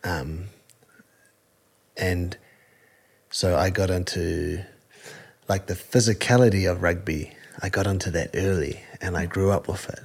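A man with a New Zealand accent, a fighter, answering interview questions in an earnest, halting way with many fillers. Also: deep voice, steady tone, breathy edge. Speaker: combat sport fighter, guest.

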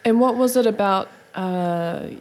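A woman's voice: mid-range voice, unhurried. A woman interviewing a guest, often rephrasing her questions as she goes.

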